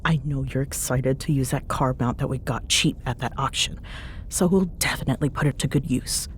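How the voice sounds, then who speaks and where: Low-voiced teasing young woman, acted, over rumble. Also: steady tone, fairly quick. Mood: relaxed, planning. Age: young adult. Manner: sarcastic, bantering, casual with sighs and murmurs. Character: teasing tsundere young woman ghost hunter, bossy but fond. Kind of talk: ASMR audio roleplay, intimate one-sided chat. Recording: clear background noise with low rumble.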